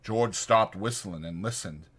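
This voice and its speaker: A man's voice: fairly low pitch, measured pace, fairly bright tone, husky. A man reading literary fiction as a dramatized audiobook narrator.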